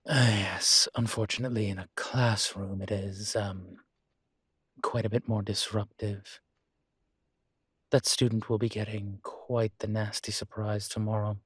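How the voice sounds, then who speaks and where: A man acting as a composed, courteous college professor in an intimate audio roleplay, speaking with measured, formal politeness and dry wit. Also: on the low side, level tone, a bit bright, breathy edge, no echo. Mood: dry, matter-of-fact. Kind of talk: intimate scripted one-sided roleplay dialogue.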